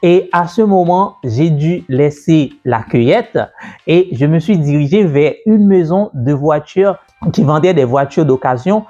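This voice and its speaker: Man, entrepreneur vlogger, warm husky voice, dull lo-fi sound with faint music behind him. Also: a little high, lively intonation, a little slow. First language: French. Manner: conversational, repeats himself, many fillers. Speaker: entrepreneur and business coach. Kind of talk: personal story told to camera.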